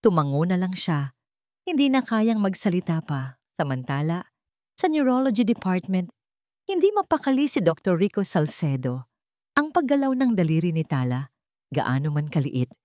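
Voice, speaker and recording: A woman's voice: fairly low pitch, darkish tone, no echo, clean studio sound. A woman reading a scripted dramatic story as its narrator.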